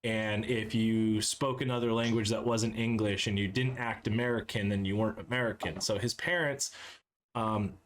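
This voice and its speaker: A man's voice: fairly low pitch, steady tone, moderate pace, a little hiss or hum. A man from Cape Cod, Massachusetts, hosting a casual podcast chat, laughing often and swearing offhandedly. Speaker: podcast host.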